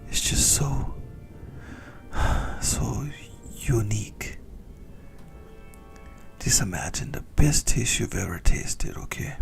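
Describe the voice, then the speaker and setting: A man voicing an obsessive, doting vampire in an intimate ASMR roleplay, with a breathy whisper and theatrical, adoring relish. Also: fairly low pitch, expressive, slow, long pauses, soft background music, heavily acted. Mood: rapturous, savoring. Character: obsessive lovesick male yandere vampire, doting, gleefully indulgent.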